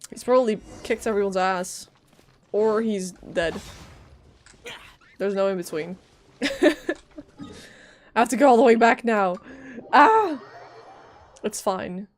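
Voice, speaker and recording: A woman's voice: medium pitch, expressive, slow pace, pauses often. A woman livestreaming a game, casually commenting, joking to herself and reading dialogue aloud in a playful way. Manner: playful, joking, muttering to self.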